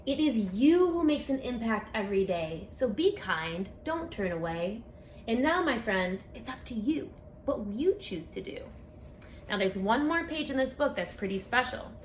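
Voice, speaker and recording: A woman reading a rhyming picture book aloud for children, speaking expressively and deliberately, straight to her young listeners. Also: a little high, big pitch swings. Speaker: children's book author and read-aloud presenter. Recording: echoey room with faint background noise.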